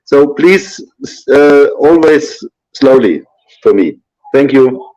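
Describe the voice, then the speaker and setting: A man who is not a native English speaker, talking haltingly with many fillers in a live chat. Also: medium pitch, animated, a little mellow, dull audio. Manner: hesitant, halting, full of fillers.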